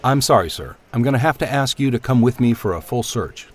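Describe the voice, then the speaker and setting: A man's voice: medium pitch, animated, fairly quick, natural voice. A man playing a skeptical, exasperated airport security guard in an audio drama, officious and dry.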